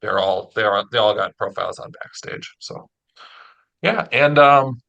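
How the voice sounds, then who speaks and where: A man's voice: on the low side, moderate pace, vocal fry, sharp tone, muffled recording. A man chatting casually as he hosts an interview. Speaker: interviewer, audio drama producer.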